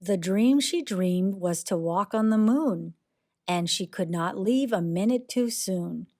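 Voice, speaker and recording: Slow-paced woman reading a children's story. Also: medium pitch. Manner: expressive, deliberate storytelling. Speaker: read-aloud storyteller. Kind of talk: children's picture book read-aloud, rhyming narration.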